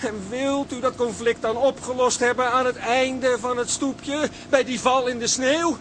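A man's voice: extremely high pitch, fairly even intonation, a little slow, music in the background. An actor playing an exasperated young male floor manager in a radio play, curt beneath forced politeness. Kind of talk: Dutch radio play acting. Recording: outdoor street and traffic noise behind.

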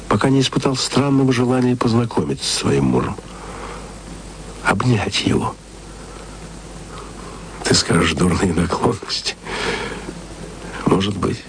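A literate man musing tenderly to his beloved in a radio play. His voice is low and he takes his time, drawing phrases out theatrically. A faint hiss sits behind him. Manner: slow, theatrical, drawn-out phrasing. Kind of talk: intimate radio-play monologue with recited verse.

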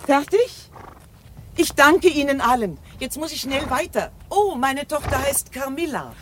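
Hurried noble mother, imperious and theatrical, high voice swooping widely, formal radio play delivery, faint noisy ambience. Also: expressive. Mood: commanding. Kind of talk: German radio drama acting.

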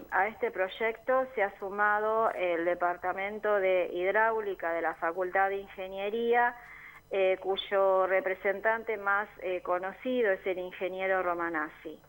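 A woman's voice: medium pitch, a bit bright, low-quality recording. An Argentine woman, a neighborhood assembly member, explaining her group's work earnestly in a radio interview. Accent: Argentine Spanish. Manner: earnest, explanatory, with frequent fillers. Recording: community radio studio.

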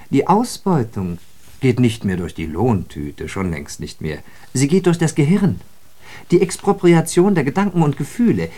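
An actor playing a sardonic, disillusioned socialist who observes city life in a radio drama, with ironic, declamatory and emphatic phrasing. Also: male voice, medium pitch, darkish tone, lightly acted. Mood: wry, disillusioned. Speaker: radio play speaker. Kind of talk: literary German radio drama monologue.

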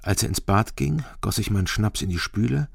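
An actor playing a wary investigator in a radio crime drama, terse, matter-of-fact and sceptical. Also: male voice, on the low side, animated, brisk, vocal fry, heavy background noise. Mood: dry, observant. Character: wary, dry male investigator narrating his own case.

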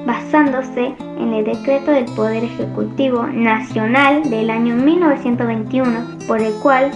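A woman's voice: on the high side, steady tone, unhurried. A woman with an Argentine accent reading scripted historical narration as a radio segment presenter. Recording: dull roomy audio, music behind.

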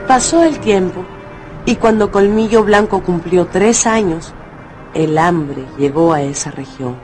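A man's voice: sits high, unhurried, over music. A man narrating a radio novel in a scripted, dramatic storytelling style.

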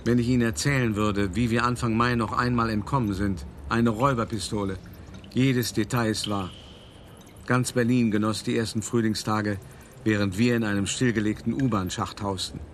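Actor as deep-voiced older art dealer, wryly reminiscing. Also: male voice, steady tone, slight background noise, natural voice. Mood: wry recollection. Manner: measured, dramatic, cultivated raconteur. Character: elderly male art dealer recounting his escapes, wry, weary. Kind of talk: radio play monologue, storytelling.

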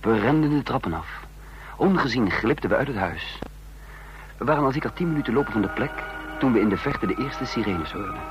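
An actor playing a stern intelligence chief who also narrates a radio drama, grave, deliberate and authoritative. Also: male voice, medium pitch, expressive, highs cut off, faint music behind, natural voice. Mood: grave, haunted. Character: commanding intelligence chief, coldly furious, remorseful narrator. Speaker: narrator and lead character. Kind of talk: dramatic radio play acting.

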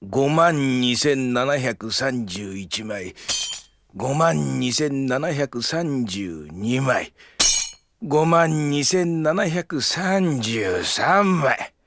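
A man's voice: mid-range voice, animated, drawn-out words, background noise. An actor playing a miserly old man in an audio drama, with fussy, old-fashioned elderly speech. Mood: fretful. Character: miserly old man slowly counting his gold coins. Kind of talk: theatrical Japanese audio-drama acting.

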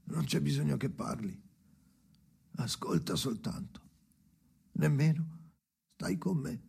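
A man playing a haunted, brooding storyteller in an experimental radio drama, speaking in halting, repeated fragments with a creaky voice that often drops to whispers. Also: fairly high pitch, animated, dark tone, full character voice. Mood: brooding, uneasy. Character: haunted brooding man narrating memories alone by the sea.

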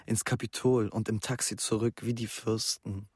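A man's voice: lowish voice, level tone, moderate pace, slightly rough, dry recording, studio quality. A young man playing an anxious, earnest schoolboy who confides his worries in a naturalistic radio drama. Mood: confiding, troubled.

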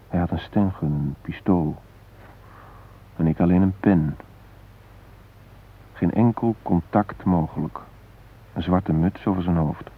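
An actor playing a shaken former hostage, retelling a brush with death in an intense, introspective radio drama monologue. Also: male voice, low voice, breathy edge, full character voice. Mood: tense, haunted. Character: shaken male former train hostage recounting facing death. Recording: muffled, narrow-band old radio recording.

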